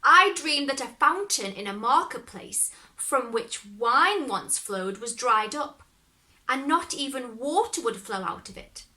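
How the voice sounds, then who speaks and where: A woman voicing the devil's old grandmother in a storybook, brisk, commanding and scolding, yet pitying. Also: a little high, lively intonation, sharp tone, voice pushed up. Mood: feigned innocence.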